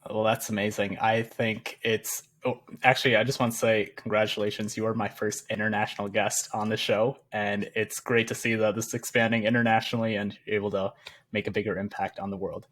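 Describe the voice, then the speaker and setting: A man's voice: lowish voice, flat delivery, brisk, fairly bright tone. A man hosting a podcast, upbeat and welcoming as he introduces and questions his guest. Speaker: podcast host. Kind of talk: podcast interview.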